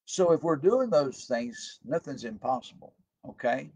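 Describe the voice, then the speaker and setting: An older male pastor with a gravelly edge and a slightly husky tone, speaking at an ordinary pitch. He preaches in an earnest, plain-spoken way, often restarting his words. He is recorded on a desk webcam in a room at home. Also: sing-song, a little slow, a little mellow. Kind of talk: recorded home devotional sermon. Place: home room with tall shelves, indoors. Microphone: webcam at desk distance.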